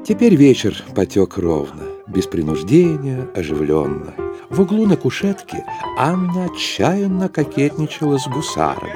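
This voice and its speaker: An actor narrating an audio drama as a wry storyteller, in a measured literary manner. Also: male voice, mid-range voice, huge pitch swings, over music. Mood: wry, composed.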